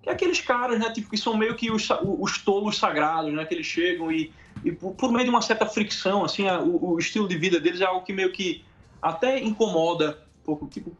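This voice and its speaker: A Brazilian man with a noticeably high voice answers as an interview guest. He talks colloquially and haltingly, often ending phrases with small tags. The audio is slightly dull and muffled. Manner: colloquial, halting, frequent tag questions. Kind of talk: casual interview answers, anecdotes.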